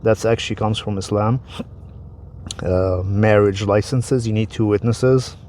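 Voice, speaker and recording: A man's voice: on the low side, steady tone, a little slow, darkish tone, a little hiss or hum. Casual man. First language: Arabic. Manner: conversational, repeats words, restarts phrases. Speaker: vlogger explaining religion. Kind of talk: casual explanatory talk to camera.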